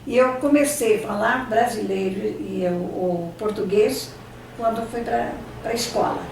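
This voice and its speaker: An older Brazilian woman, a native Italian speaker, recalls her past at a slow, unhurried pace, halting and restarting as she searches for words. She sounds far from the mic in a strongly echoing room, with faint ambient sound behind her. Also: on the high side, gravelly, darkish tone, slight background noise. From Brazil, São Paulo state. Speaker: interviewee. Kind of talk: oral history reminiscing.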